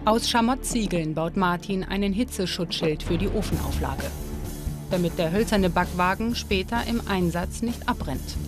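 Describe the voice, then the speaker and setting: Woman narrating a history documentary over music. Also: fairly low pitch. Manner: calm, measured storytelling. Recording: studio voice-over with music behind.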